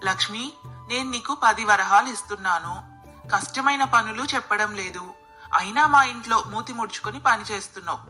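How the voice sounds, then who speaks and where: A woman's voice: mid-range voice, moderate pace, bright, forceful tone, soft background music, natural voice. A woman voicing a jealous, haughty rich housewife in a bedtime story, scornful and commanding. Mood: reproachful. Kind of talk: acted dialogue in a moral bedtime story.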